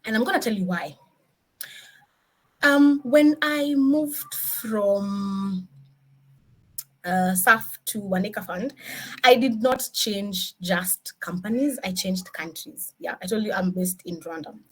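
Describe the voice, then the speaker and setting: A woman with a Kenyan accent giving an informal motivational career talk as a senior engineer, mixing in Swahili with animated, emphatic rhetorical questions. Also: medium pitch, moderate pace, breathy edge, low-quality recording. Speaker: senior DevOps engineer, guest speaker.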